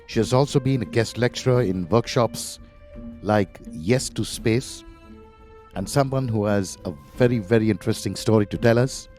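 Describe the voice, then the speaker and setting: A man's voice: on the low side, moderate pace, darkish tone, faint music behind. A man hosting a podcast, welcoming a guest with an enthusiastic, effusive introduction and asking long questions. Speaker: podcast host.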